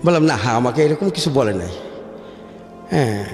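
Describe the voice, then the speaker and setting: A man's voice: medium pitch, expressive, normal speed, darkish tone. Bangladeshi man telling his story, echoing reverb. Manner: mixes English words into his Bengali. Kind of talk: personal storytelling in a radio interview. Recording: echoey reverberant room with music behind. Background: background music.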